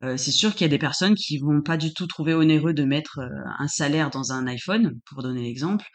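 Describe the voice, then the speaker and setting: A woman's voice: low-pitched, fairly quick. A woman working as a doula, answering interview questions casually with frequent restarts as she searches for words.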